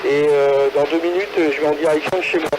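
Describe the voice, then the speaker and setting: A man's voice: a little high, fairly even intonation, fast. A man chatting casually and hesitantly as an amateur radio operator, transmitting over a two-way radio from a car. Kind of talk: casual radio chat between hams. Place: in a car, mobile. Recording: very noisy ham radio relay transmission. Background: loud radio and vehicle noise.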